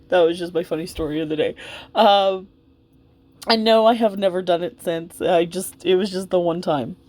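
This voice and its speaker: A woman's voice: lowish voice, steady tone, moderate pace, long pauses, darkish tone. A woman giving casual, chatty hobby commentary in an informal, rambling and effusive way. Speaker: doll hobbyist vlogger. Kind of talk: casual, chatty commentary while dressing a doll.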